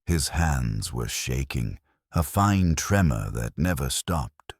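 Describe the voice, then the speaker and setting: A man narrating a dramatic first-person story in a grave, measured manner, with short deliberate phrases. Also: a bass voice, very animated, no room sound, clean studio sound.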